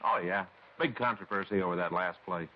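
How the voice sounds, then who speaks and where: On a dull old radio recording, a talkative American police sidekick. The voice is on the low side, folksy and stubbornly insistent, in the conversational style of 1950s radio drama. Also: male voice, expressive, brisk, a little hiss or hum. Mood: insistent, needling. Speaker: police detective, the partner.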